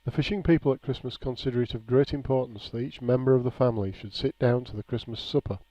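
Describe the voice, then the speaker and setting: A man with a dark, mellow voice reads a written reminiscence aloud. His delivery is measured and plain, like unadorned storytelling. The recording is somewhat dull and lo-fi. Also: medium pitch. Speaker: reader of a written local-history account. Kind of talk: reading aloud a nostalgic written memoir. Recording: dull, lo-fi, echoey room.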